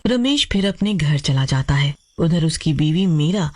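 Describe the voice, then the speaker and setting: Lively low-voiced woman narrator. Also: measured pace. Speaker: story narrator. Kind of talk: scripted storybook narration. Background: music.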